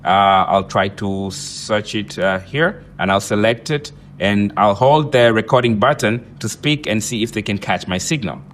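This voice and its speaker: A man's voice: lowish voice, unhurried, hardly any pauses, fairly bright tone, slight background noise. A man presenting and demonstrating a product in an explanatory, repetitive way.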